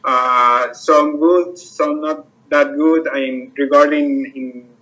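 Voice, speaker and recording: A man, the project owner, talking about his project in a casual interview and filling pauses with lots of "uh"s. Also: on the high side, fairly even intonation, pushed and bright, muffled recording. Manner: hesitant, many fillers and restarts.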